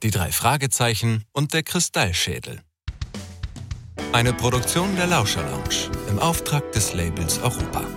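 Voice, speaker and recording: A male announcer reads credits in a measured, formal way. His medium-pitched voice moves in a lively melody, while loud guitar music plays behind him. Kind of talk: scripted credits narration.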